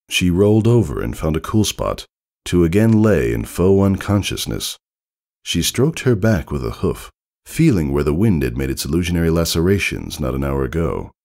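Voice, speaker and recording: A man reading a story aloud as narrator, in an expressive, measured and dramatic storytelling manner. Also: rumbling low, gravelly.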